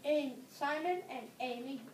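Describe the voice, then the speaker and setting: A high-voiced female narrator reads a scripted story aloud in halting phrases. She sounds far from the mic in an echoey room, with clear noise behind her.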